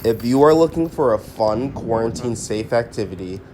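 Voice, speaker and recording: A man's voice: medium pitch, expressive, moderate pace, warm tone, a little hiss or hum. A man reporting a student news package in an upbeat, persuasive voice, partly from an outdoor park. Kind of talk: news package narration and stand-up.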